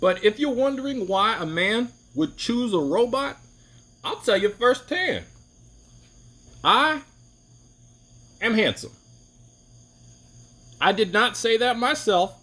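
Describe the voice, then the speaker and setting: A man's voice: animated, slow, pauses often, sharp tone. A man giving an opinionated, rambling monologue to camera, often restarting his sentences. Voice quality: deep voice. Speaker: vlogger.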